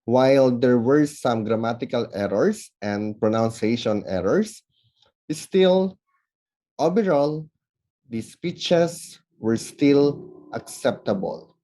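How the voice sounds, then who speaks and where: A man giving formal, measured feedback as a judge, working through numbered points. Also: medium pitch, lively intonation, dark tone, rough recording. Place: online event recording.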